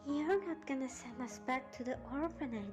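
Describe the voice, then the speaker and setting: Woman as timid, high-voiced little orphan filly. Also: a little mellow, faint music behind, natural voice. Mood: hopeful. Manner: halting, childlike, timid. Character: timid five-year-old orphan filly, sweet and innocent. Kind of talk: audio drama voice acting.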